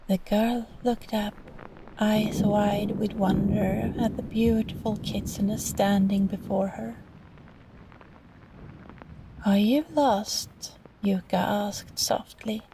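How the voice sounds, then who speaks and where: A woman reading a bedtime story softly and breathily in a gentle, soothing ASMR manner, often close to a whisper. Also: medium pitch, slight background noise, poor audio. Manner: gentle, slow, soothing delivery. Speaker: storyteller, voice actress. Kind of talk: soft bedtime story reading, sleep-aid ASMR roleplay.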